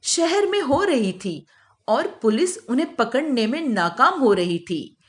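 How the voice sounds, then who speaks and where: Slow, high-voiced woman storyteller. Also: sing-song. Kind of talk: scripted narration of children's moral story.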